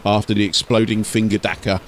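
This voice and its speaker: A man with a lowish voice delivers scripted narration in the role of an audiobook storyteller. His reading is that of a channel host presenting a tale. There is slight noise behind his voice. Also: level tone, a little fast.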